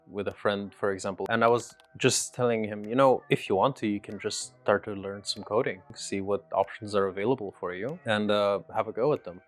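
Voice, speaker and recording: A young man giving casual answers in an interview. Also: fairly low pitch. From Romania. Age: young adult. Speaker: bootcamp trainee being interviewed.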